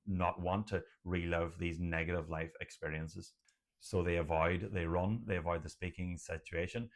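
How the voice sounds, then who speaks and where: Brief: young adult Irish man, deep low voice, speaks with an audible stutter, direct to camera. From Ireland. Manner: stutters, with blocks and repeated sounds. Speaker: doctor and channel host. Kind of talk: personal talk to camera.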